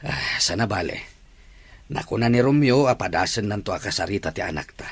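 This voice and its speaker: A man's voice: fairly low pitch, lively intonation, fairly quick, breathy edge, no echo. A man playing an encouraging middle-aged uncle in an Ilocano radio drama, with a hearty, agreeable manner. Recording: music bed under the dialogue.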